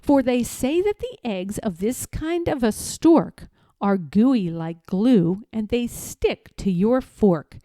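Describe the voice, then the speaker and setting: A woman reading a children's story aloud in a theatrical, rhythmic way, as expressive storytelling. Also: a little high, slow pace, dry recording, clean studio sound. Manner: theatrical, rhythmic rhyming delivery. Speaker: storybook reader.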